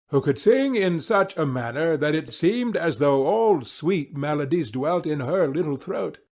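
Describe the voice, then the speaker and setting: Expressive male storyteller, higher-pitched voice. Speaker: audiobook narrator.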